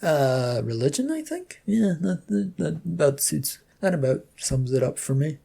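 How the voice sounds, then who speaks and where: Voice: mid-range voice, sing-song, unhurried, gravelly, dark tone, husky, exaggerated voice. A storyteller playing a weary, sarcastic male office IT worker with a dry, hesitant manner. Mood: awkward, hesitant.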